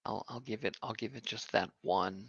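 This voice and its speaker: A man's voice: lowish voice, moderate pace, breathy edge, no room sound, highs cut off. A man playing a cleric in a tabletop game, speaking in a hesitant, searching way with many fillers. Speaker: player.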